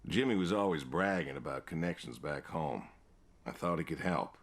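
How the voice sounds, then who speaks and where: An actor playing a weary, stubborn old American pig farmer in a video game, speaking in a subdued, laconic, plain way. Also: male voice, expressive, moderate pace, heavily acted. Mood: reflective, matter-of-fact. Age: older. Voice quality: low, gravelly. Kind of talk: naturalistic video game dialogue.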